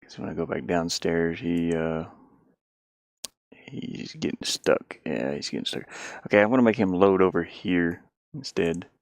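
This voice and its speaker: A man thinking out loud in casual livestream talk, muttering to himself as he works on a game. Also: low voice, unhurried, vocal fry, no echo, clean studio sound. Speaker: indie game developer streaming.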